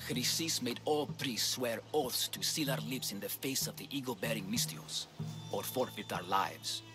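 An actor playing a solemn, devout healer priest of ancient Greece in a video game, grave and earnest. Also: male voice, on the low side, natural voice. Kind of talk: video game drama acting.

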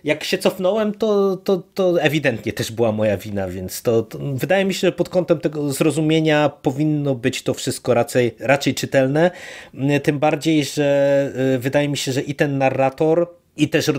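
A man co-hosting a podcast, casually discussing a review in a halting way as he searches for words. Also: mid-range voice, a little mellow. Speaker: podcast co-host. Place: virtual studio, remote recording.

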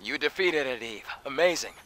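An actor playing a calm, upbeat young male scout companion in a video game, with a reassuring, conversational manner. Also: medium pitch, normal speed, slight background noise, poor audio. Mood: impressed, relieved. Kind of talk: video game cutscene voice acting. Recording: background music under the voice.

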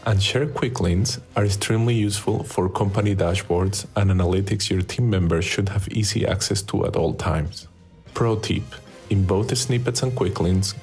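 A man with a low voice explains a team feature calmly and methodically. Light music sits behind him. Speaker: software engineer. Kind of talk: scripted product tip.

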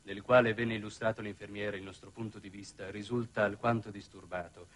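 Guy acting as matter-of-fact aide in old 60s tv drama, lowish voice, formal and clipped. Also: fairly even intonation, brisk, lightly acted. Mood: formal report. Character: sober, matter-of-fact official aide reporting grim news. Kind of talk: 1960s Italian television drama acting.

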